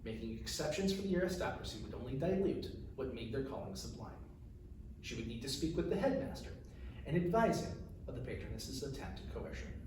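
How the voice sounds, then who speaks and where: A man reading his fantasy novel aloud as its author, in a measured, formal storytelling delivery. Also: mid-range voice, animated. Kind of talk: narrated fantasy fiction reading. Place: echoey room, recorded from a distance. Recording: distant mic, very echoey room, faint noise.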